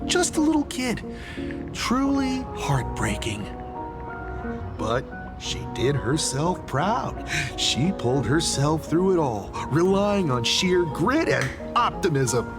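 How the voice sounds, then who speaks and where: Slow gossipy soda vendor. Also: female voice, sits low, very animated, exaggerated voice. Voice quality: chatty, gossipy. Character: gossipy street soda vendor, chatty and proud. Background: game music.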